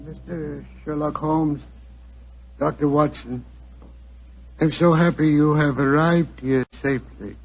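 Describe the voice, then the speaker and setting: An actor playing an ailing, courteous Indian maharaja in 1940s radio style, with an Indian accent and halting, formal English. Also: male voice, a little high, fairly even intonation, breathy edge, full character voice. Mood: courteous, weary. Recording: muffled old 1940s radio recording.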